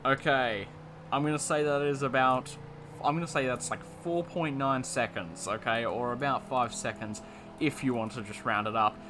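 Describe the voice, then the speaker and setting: A man's voice: medium pitch, moderate pace, background noise. A young man giving casual, enthusiastic commentary while reviewing a car in a video game, with lots of fillers and repeated words.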